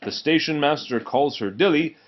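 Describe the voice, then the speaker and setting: A man reading a children's storybook aloud as an expressive narrator. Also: on the high side, normal speed, muffled recording. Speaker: storybook reader.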